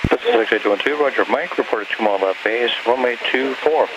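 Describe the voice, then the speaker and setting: Rapid-fire male controller on noisy radio. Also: mid-range voice, lively intonation. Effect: aircraft radio. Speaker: air traffic controller. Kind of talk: clipped procedural radio instructions. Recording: dull aviation radio, loud engine noise.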